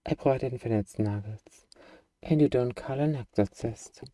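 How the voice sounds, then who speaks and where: A man's voice: mid-range voice, a little slow, warm tone, no echo, recorded from a distance, natural voice. A man playing an eager, bargaining demon who craves a cuddly pet, in a playfully earnest, wheedling ASMR roleplay monologue. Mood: excited, cozy.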